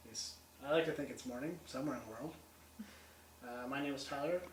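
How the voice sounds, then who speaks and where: A man's voice: mid-range voice, a little fast, slight background noise. A man investigating a haunted theatre, chatting in a friendly, lightly teasing way to a spirit he hopes is present. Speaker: paranormal investigator. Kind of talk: talking to a spirit. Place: large old theatre, indoors. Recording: echoey room from a distance.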